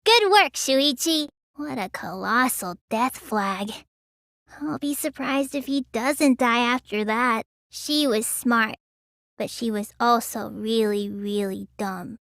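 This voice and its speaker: Casting: small teenage girl mage for a game; childish, bright, sluggish and whiny, recorded close and dry. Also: sits high, no echo. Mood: matter-of-fact. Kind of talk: English video game voice acting.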